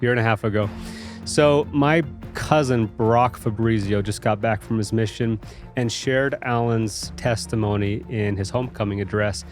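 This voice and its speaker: A man hosts an interview podcast, asking questions in a friendly way and filling pauses with short affirmations. His voice sits at a middling pitch, with faint music running behind it. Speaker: podcast host. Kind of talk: interview hosting.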